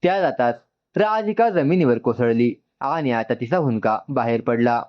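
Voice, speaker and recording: A man's voice: medium pitch, lively intonation, normal speed, muffled recording. A man narrating an emotional story from a script.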